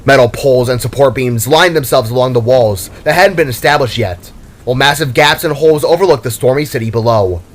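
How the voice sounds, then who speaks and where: A man's voice: mid-range voice, fairly quick. A man reading scripted narration for an action story in a dramatic storyteller's style. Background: music.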